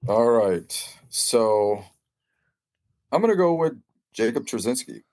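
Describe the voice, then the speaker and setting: A man's voice: mid-range voice, big pitch swings, slow, a little mellow. A man giving casual sports analysis as a guest on a podcast.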